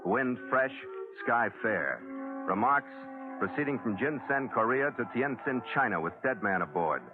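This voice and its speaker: A man's voice: mid-range voice, lively intonation, measured pace, natural voice. Man acting worldly sea captain, wry clipped narration, 1940s radio adventure, lo-fi vintage sound, music behind. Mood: clipped, official. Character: cool, worldly male sea captain narrating his voyage.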